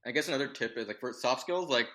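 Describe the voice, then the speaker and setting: A reserved young man speaking in a monotone as a guest in a casual podcast chat. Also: medium pitch, quick pace. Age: young adult.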